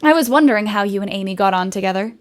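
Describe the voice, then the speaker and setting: A woman playing a warm, spirited, teasing young woman in a nineteenth-century audio drama. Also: mid-range voice, animated, very fast, natural voice. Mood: tender, wistful. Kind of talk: period audio drama acting.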